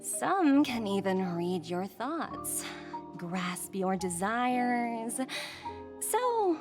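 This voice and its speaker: An actress playing a confident, playful young woman executive in a video game, with an expressive, teasing manner. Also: a little high, very slow, music in the background, heavily acted.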